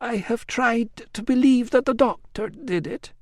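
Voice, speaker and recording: A woman's voice: a little high, unhurried, a little mellow, no room sound, clean studio sound, pitched above the performer's own voice, natural voice. A man voicing a sad, hesitant brewer's daughter. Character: anxious, sad middle-aged brewer's daughter.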